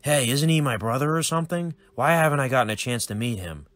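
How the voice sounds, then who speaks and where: A man's voice: medium pitch, animated, fast, fairly bright tone, no echo, pitched above the performer's own voice, lightly acted. A man voicing a hot-headed young male assassin who talks in a brash, pushy way.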